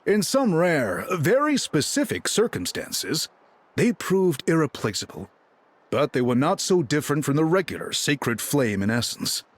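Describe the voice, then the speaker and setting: Learned, expressive game chieftain. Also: male voice, a little high, a little slow. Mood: thoughtful, explanatory. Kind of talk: video game character acting.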